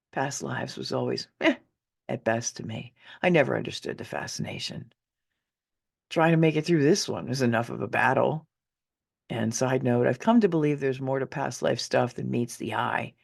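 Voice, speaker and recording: A woman's voice: extremely low pitch, creaky, slightly rough. A middle-aged woman reads her own memoir as a conversational audiobook, in a candid, wry way with dramatic emphasis. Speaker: author reading own memoir.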